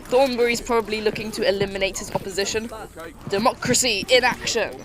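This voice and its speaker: Woman playing a brisk, wry commander. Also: mid-range voice, steady tone, natural voice. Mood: wry, businesslike. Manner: clipped, decisive, dry. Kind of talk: audio drama acting.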